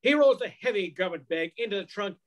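A man's voice: high-pitched, lively intonation, a little fast. A man in his sixties reading scripted narration for an old-time radio crime drama, recorded at home on a video call. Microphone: computer mic on a video call.

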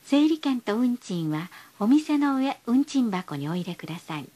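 High-pitched female bus announcer, lively intonation. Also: a little slow. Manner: polite, formal, carefully enunciated phrasing. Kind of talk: scripted recorded bus stop announcements.

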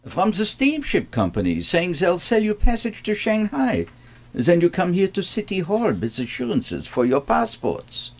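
Man playing husky, swooping, officious clerk. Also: high-pitched, huge pitch swings, measured pace, a little mellow, heavily acted. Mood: stern, insistent. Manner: curt, officious. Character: cold, officious Nazi-era city hall clerk. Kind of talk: radio play acting.

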